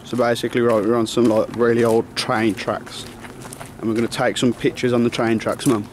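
A man's voice: mid-range voice, little pitch movement, fairly quick. Slangy young man vlogging outdoors. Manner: slangy, swearing, joking. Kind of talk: casual vlog narration. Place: outdoors at night near old train tracks. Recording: noisy outdoor camera audio.

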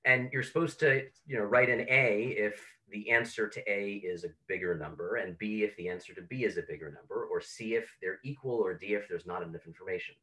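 A man's voice: lowish voice, a little fast, hardly any pauses, bright, forceful tone, low-quality recording. A man hosting an online talk, telling an anecdote as an introduction over a video call. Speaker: host introducing a speaker.

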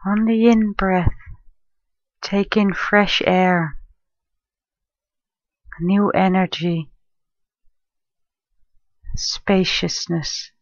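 A woman leading a guided meditation in a gentle, soothing, unhurried manner, leaving long pauses between phrases. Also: mid-range voice, fairly even intonation, no room sound, highs cut off. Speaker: meditation guide, life coach and therapist.

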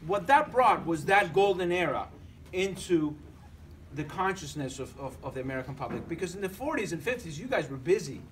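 Argentine guy giving a talk to a crowd, kinda high voice, chatty and jokey, faint hum behind. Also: big pitch swings, a little fast. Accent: Argentine Spanish. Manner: conversational, joking, many restarts. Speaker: musician lecturer. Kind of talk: lecture demonstration to an audience.

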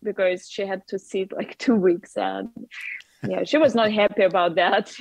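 A woman in her thirties with a Russian accent chats casually on a video call. She talks through earbuds with plenty of fillers and light laughter. Her voice sits at a middle pitch, and the sound is close and dry. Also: fairly even intonation, moderate pace, dry recording, low-quality recording. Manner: chatty, laughs often, many fillers. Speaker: artist guest. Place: bright room with a wooden ceiling. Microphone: wireless earbuds on a video call.

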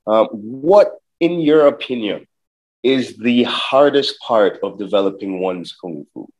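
A man hosting a video call interview, asking questions in a halting way and repeating words. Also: on the low side, animated, a little mellow, rough recording. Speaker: interview host. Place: indoor home gym. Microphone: video call, webcam close-up.

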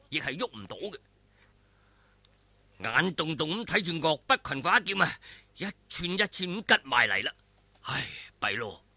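Man, Cantonese accent, dramatic storyteller, high-pitched, very lively intonation, suspenseful, colloquial, husky. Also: no echo. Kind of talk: dramatic oral storytelling of a martial arts novel.

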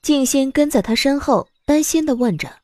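A woman giving expressive scripted narration of a romance novel as an audiobook narrator. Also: high voice, moderate pace, no echo, studio quality.

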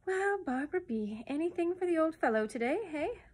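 Storybook character: cantankerous old male starling, performed by a woman, shrill, high, self-important and greedy. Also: sing-song, moderate pace, husky. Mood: wheedling. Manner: pompous, wheedling, brusque.

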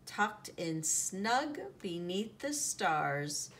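A woman reading a children's picture book aloud in an expressive, deliberate storytelling manner, drawing out the sound words. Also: lowish voice. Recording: noticeable background noise, home recording.

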